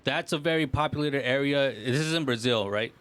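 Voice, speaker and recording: A man with a mid-pitched voice talks as a podcast co-host. His delivery is casual and excitable, like someone telling friends a story, with plenty of slang and swearing. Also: brisk. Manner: excitable storytelling, slangy, profane, frequent "bro" and "like".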